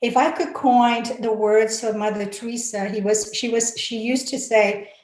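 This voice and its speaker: A woman's voice: a little high, steady tone, normal speed, darkish tone. A woman from Malaysia, giving an earnest, encouraging talk on a webinar panel, full of fillers and restarts. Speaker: panel speaker. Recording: echoey room.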